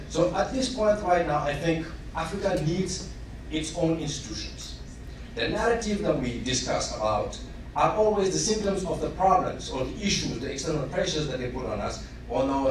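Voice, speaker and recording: Senegalese man, audience comment at a panel, halting with fillers, picked up far off in a big echoing hall with noise. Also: on the high side. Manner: halting, many fillers, building emphasis. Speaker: audience member asking a question. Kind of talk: formal public comment at a panel. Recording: echoey hall, distant mic, noisy.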